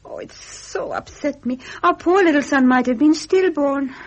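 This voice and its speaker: A woman's voice: high voice, normal speed, natural voice. An actress playing a delicate, anxious young princess and doting mother in a radio drama, speaking breathily, tender and plaintive. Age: young adult. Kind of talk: 1970s British radio drama acting.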